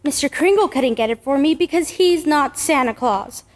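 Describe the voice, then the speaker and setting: A skeptical little girl voiced by a woman in old-time radio style. The voice is high, the delivery plain-spoken and a bit sulky. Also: lively intonation, normal speed. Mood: disappointed, doubting.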